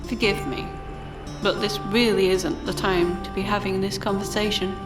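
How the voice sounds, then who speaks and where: Woman playing brisk polite time traveller, formal n wry, in charge, audio drama with music under it. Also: mid-range voice, little pitch movement, natural voice.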